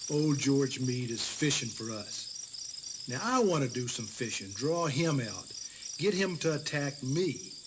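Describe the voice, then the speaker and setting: Folksy, cautious general, noisy tape. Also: male voice, mid-range voice, big pitch swings, slow pace. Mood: wry, reasoning. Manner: hesitant, folksy, plain-spoken. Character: cautious, folksy Confederate general arguing against attack. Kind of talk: dramatized historical audio acting. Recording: noisy tape with cricket ambience.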